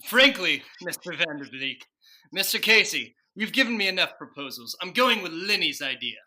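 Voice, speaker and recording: A man playing a vain, excitable president in a radio comedy sketch, speaking pompously and emphatically. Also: high voice, moderate pace, heavily acted, poor audio. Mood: grandiose, excited. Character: vain, excitable male president George Washington. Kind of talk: old-time radio sketch comedy parody.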